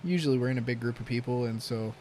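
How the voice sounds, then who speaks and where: A man talking casually as a podcast guest, with a quiet voice and heavy swearing. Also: medium pitch, quick pace, a little hiss or hum.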